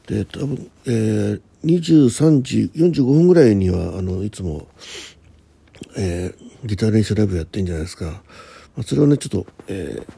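A man, probably middle-aged, chatting casually by himself as a radio host, halting and thinking aloud in short polite phrases. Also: lowish voice, creaky, dark tone, husky. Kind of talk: casual solo chat about daily life.

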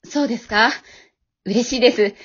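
An amateur actress playing a modest, earnest housewife YouTuber in a radio drama, speaking politely and hesitantly. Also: female voice, on the high side, moderate pace, fairly bright tone, husky, muffled recording. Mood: modest, pleased.

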